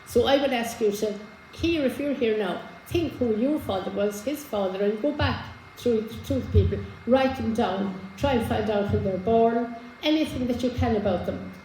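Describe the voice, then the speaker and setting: Hoarse woman addressing a hall. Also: fairly high pitch, normal speed, mellow, slight background noise. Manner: chatty, many fillers and restarts. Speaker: genealogy speaker. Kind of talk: informal talk to a live audience. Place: community hall with an audience. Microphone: handheld microphone.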